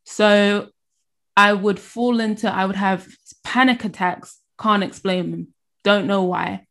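A woman telling her faith story in casual conversation, often pausing and restarting her sentences. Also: medium pitch, fairly even intonation, fairly bright tone. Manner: halting, restarts, laughs while telling.

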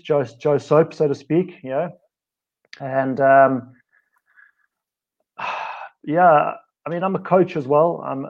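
A man's voice: mid-range voice, creaky, darkish tone. South African man with muffled lo-fi sound. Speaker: co-host, fitness coach. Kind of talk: casual live-stream chat.

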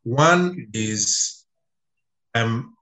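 A man's voice: mid-range voice, animated, very slow, sharp tone, echoey room, rough recording. A man co-hosting an interview, asking long, hesitant questions full of fillers. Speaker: interview co-host.

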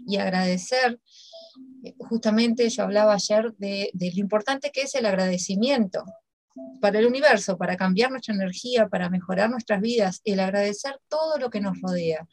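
A woman interviewing a guest in a relaxed, encouraging way. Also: medium pitch, normal speed, dry recording. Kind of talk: interview conversation.

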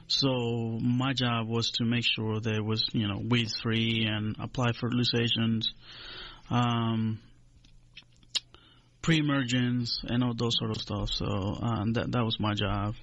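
Honduran guy in his 40s, english not his first language, slow careful answers, dry muffled sound. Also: medium pitch, level tone, fairly bright tone, dry recording. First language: Spanish. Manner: measured, hesitant with many uh fillers. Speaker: extension agent. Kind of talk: radio interview.

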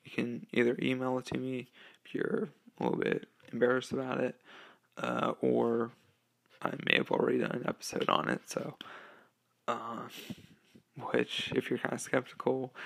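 A sixteen-year-old boy hosting his own podcast, talking casually and hesitantly with lots of ums and uhs, through a low-quality microphone. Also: level tone, moderate pace, gravelly. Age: teens. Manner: hesitant, many ums and uhs, occasional laughs. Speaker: podcast host. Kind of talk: casual solo podcast talk. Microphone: low-quality home microphone.

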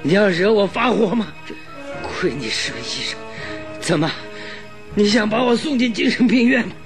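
An actor playing a gravely ill, irritable detective in a radio drama, with laboured, breathy speech and an imperious manner. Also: male voice, high-pitched, expressive, moderate pace, music in the background. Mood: furious, shouting. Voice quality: breathy, gasping, creaky.

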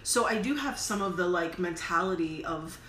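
A woman's voice: on the low side, lively intonation, normal speed, a bit bright, slight background noise. A woman in her forties co-hosting a podcast, talking in an earnest, reflective way and echoing her own phrases. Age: 40s. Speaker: podcast co-host. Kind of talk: casual podcast chat.

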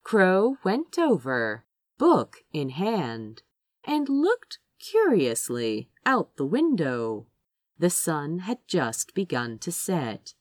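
A woman narrates a story in a lowish voice that swoops widely in pitch. She takes her time, shaping each phrase with dramatic care and leaning on key words like an expressive storybook reader. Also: slow, no echo, clean studio sound. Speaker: fan fiction reader, narrator.

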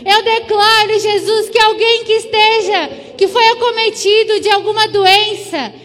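A woman delivers a fervent charismatic prayer full of commanding declarations. Her pitch is extremely high. A long hall echo surrounds her voice. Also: steady tone, measured pace, a bit bright. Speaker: church deaconess praying. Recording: long reverberant hall sound.

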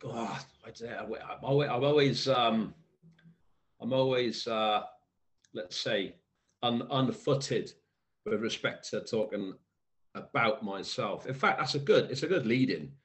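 A man from South Wales with a faint Welsh accent, a poet guest talking slowly and thoughtfully, often pausing and restarting to find the right word. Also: medium pitch. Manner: thoughtful, hesitant, searching for words, many restarts. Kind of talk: reflective conversational interview.

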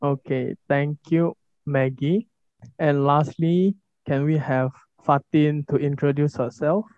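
A man's voice: on the high side, fairly even intonation, takes it slowly, no room sound. A young man hosting a podcast, giving formal, orderly introductions and questions. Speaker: podcast host. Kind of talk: scripted podcast moderating. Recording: dull lo-fi recording.

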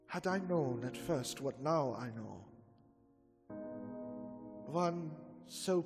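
An actor playing a grave, elderly male vampire-hunting professor, speaking with solemn, slow, commanding deliberation in 1930s radio-drama style. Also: on the high side, animated, darkish tone, in a large hall, faint music behind, full character voice. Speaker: Van Helsing.

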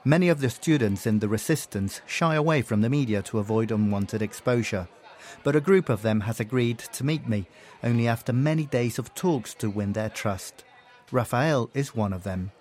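Calm man narrating a radio report. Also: medium pitch, moderate pace. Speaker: foreign correspondent.

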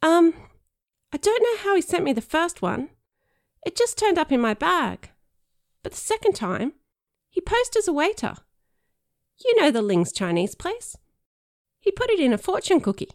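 A woman's voice: extremely high pitch, big pitch swings, moderate pace, pauses often, no room sound, clean studio sound, pitched above the performer's own voice, exaggerated voice. A woman voicing a frightened, earnest teenage boy who talks nervously.

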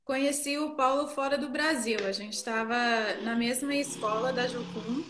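A middle-aged woman from the northeastern United States, a native English speaker, telling her story reflectively and haltingly as an interview guest. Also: sits high, roomy sound, crowd noise. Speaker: interview guest, worship leader. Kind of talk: conversational personal storytelling in an interview.

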